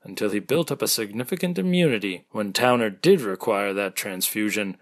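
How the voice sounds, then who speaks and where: A man playing an earnest, suspicious hospital doctor in a radio mystery, with a dramatic, deliberate delivery. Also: lowish voice, animated. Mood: vindicated, assured. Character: earnest, suspicious male hospital doctor sure of his judgment. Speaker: actor in an amateur audio drama. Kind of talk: remake of classic radio mystery acting.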